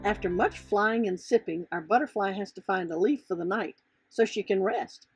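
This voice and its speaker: A woman's voice: mid-range voice, moderate pace, breathy edge, no room sound, faint music behind. A woman narrating a children's storybook as its author, reading from the script.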